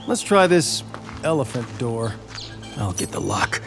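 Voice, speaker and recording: An actor playing a breezy, curious commanding officer with a casual, jokey manner in an audio drama. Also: male voice, medium pitch, big pitch swings, measured pace, vocal fry, big-room sound, music in the background.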